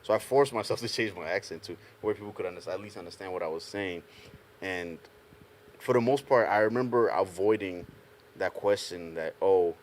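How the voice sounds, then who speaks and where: A young man from Ghana, a panel guest telling animated stories in a casual group chat, often laughing as he talks. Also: sits low, moderate pace, slight background noise. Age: young adult. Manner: animated storyteller, laughs through anecdotes.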